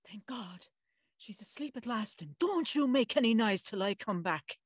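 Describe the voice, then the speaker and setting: A woman's voice: a little high, expressive, moderate pace, breathy edge, no echo, clean studio sound. A woman playing a stern, pious aunt in service, soothing her mistress firmly in a gothic audio drama. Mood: brisk, stern.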